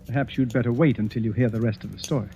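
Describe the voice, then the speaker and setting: An actor playing a self-satisfied, theatrical master detective, pompous and deliberate, in old-time radio horror style. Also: male voice, mid-range voice, steady tone, dark tone, natural voice. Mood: smug, commanding. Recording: muffled vintage radio recording, faint music.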